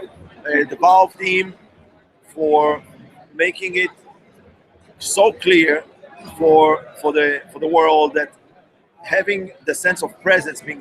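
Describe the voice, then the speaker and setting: A man speaking at an outdoor event interview. He talks quickly and stumbles over repeated words. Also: on the high side, a bit bright, poor audio. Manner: fast, with many restarts and repeated words. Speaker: company representative. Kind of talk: on-the-spot interview.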